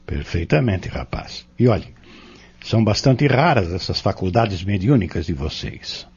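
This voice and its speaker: An actor playing an earnest, patient spiritual mentor in an audio drama, courteous and explanatory. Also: male voice, lowish voice, sing-song, unhurried, darkish tone, slightly rough, highs cut off, exaggerated voice. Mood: earnest, instructive. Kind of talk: audio drama dialogue, didactic.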